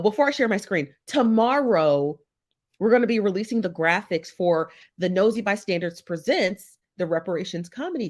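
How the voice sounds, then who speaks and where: Woman, head cold, chatty livestream host, reads viewer comments, says y'all. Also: mid-range voice. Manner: chatty, says y'all and child, laughs often. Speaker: host of a gossip talk show. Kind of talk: casual livestream chat reading comments.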